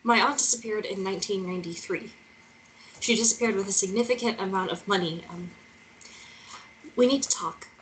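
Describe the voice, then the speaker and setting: A woman's voice: mid-range voice, a little fast, long pauses, a little hiss or hum, natural voice, rough recording. A woman playing an earnest, nervous woman searching for a missing relative, reading hesitantly and naturally at a table read. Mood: hesitant, polite. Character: earnest, nervous young woman seeking her missing aunt.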